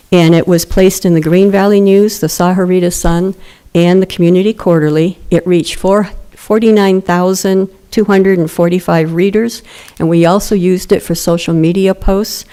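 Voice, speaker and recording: A woman presenting at a town council meeting, quiet and soft-spoken at a podium microphone. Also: lowish voice. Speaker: grant program presenter.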